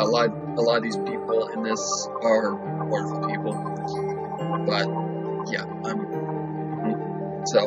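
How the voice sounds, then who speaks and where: A young man hosting a casual reaction video, chatty and rambling with fillers. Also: mid-range voice. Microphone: desktop mic, no headphones. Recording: dull lo-fi home recording. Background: audiobook music playing.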